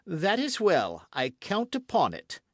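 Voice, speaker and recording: A man's voice: high-pitched, wildly swooping pitch, slow pace, dry recording, studio quality, pitched above the performer's own voice, full character voice. A man voicing a stern, cold-hearted king in an audiobook, speaking in a raised, demanding, imperious way. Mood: evasive. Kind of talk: audiobook character reading.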